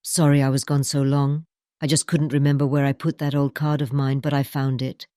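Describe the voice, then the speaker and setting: Woman playing a very low-voiced stern old grandmother. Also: little pitch movement, brisk, dark tone, dry recording, studio quality. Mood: friendly, chatty. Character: stern but kindly elderly grandmother. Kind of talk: audio drama character acting.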